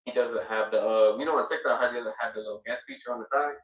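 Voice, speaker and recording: A man's voice: mid-range voice, steady tone, fast talker. A man appearing as a DJ guest on a live stream, chatting in a laid-back way, swearing and hyping up the show. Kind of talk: casual stream chat. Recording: distant, roomy, dull-sounding mic.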